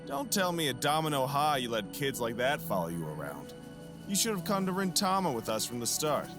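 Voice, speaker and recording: A man's voice: mid-range voice, big pitch swings, fairly quick, over music, natural voice. A man playing a smug, menacing teenage gang leader in an audio drama, taunting with false friendliness. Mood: smug, mock-friendly.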